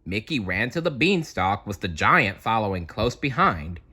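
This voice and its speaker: A man reading a children's story aloud with playful, dramatic, expressive narration. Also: on the low side, moderate pace, husky.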